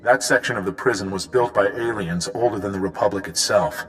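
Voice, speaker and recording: An actor playing a grave, dutiful military commander of a prisoner unit in a video game, speaking earnestly and formally. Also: male voice, lowish voice, brisk, a little hiss or hum, natural voice. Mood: grave, resolute. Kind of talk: video game dramatic dialogue. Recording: long reverb, loud music underneath.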